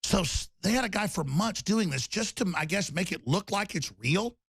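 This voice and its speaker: A man hosting a talk radio show, raspy and torn-up in tone, rambling emphatically with loud bursts and low mutters. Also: expressive, normal speed, no echo. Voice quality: raspy, gravelly voice. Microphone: studio microphone.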